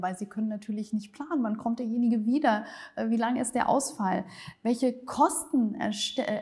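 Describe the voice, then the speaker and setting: A woman's voice: a little high, a little mellow. A woman conducting an interview, framing long, careful questions for her guest.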